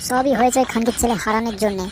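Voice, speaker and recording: A woman's voice: fairly high pitch, steady tone, racing, noisy background, lightly acted. A woman voicing a foul-mouthed, hot-tempered young village lad who swears and quarrels. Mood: angry. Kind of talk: comic cartoon voice acting.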